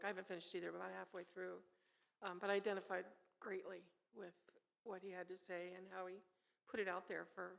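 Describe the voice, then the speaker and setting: A woman's voice: medium pitch, gravelly. A middle-aged or older woman speaking candidly and reflectively in a group book discussion. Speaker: class participant.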